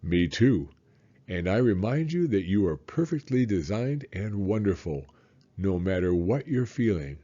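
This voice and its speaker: A calm, tender father from a storybook, acted out by a man reader. His voice sits low, with a soft, dark, husky tone, and he sounds steadily reassuring. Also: a little slow, lo-fi sound. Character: gentle, reassuring father of a young boy.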